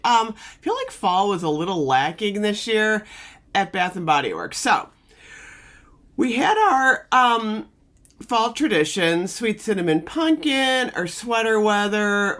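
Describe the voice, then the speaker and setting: A middle-aged woman, a little hoarse from losing her voice, chatting casually through a fragrance review on her vlog. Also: medium pitch, a bit bright. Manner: chatty, upbeat, rambling with fillers. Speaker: beauty and fragrance vlogger. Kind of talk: casual product review chat.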